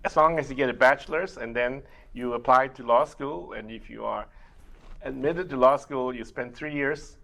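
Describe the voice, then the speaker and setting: A middle-aged man whose first language is Mandarin Chinese, working as an immigration attorney and answering interview questions in a measured way, with many pauses to think. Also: medium pitch, creaky, pushed and bright. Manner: measured, explains step by step with frequent uh pauses. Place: community TV studio.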